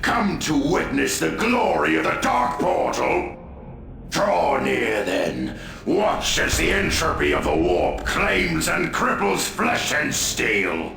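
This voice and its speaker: A menacing, grandiose dark champion gloats theatrically in a game. The voice is slow, swoops dramatically and grinds with gravel, echoing over music. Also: male voice, fairly high pitch, wildly swooping pitch, heavily acted. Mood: gloating. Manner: theatrical, gloating, archaic speech. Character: grandiose, menacing male Chaos warrior champion, bloodthirsty zealot. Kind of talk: video game villain acting.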